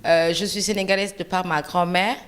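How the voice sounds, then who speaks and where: A woman doctor from Senegal introducing herself in an interview, calm and measured. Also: on the low side, clean tone. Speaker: doctor, association vice-president.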